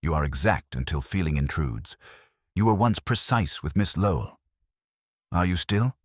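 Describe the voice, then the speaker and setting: A man playing an imperious, deep-voiced detective. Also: lively intonation, normal speed, breathy edge, no room sound, studio quality. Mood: coolly accusing. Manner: formal, mild-spoken, precise. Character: imperious, exacting male detective, measured and cutting.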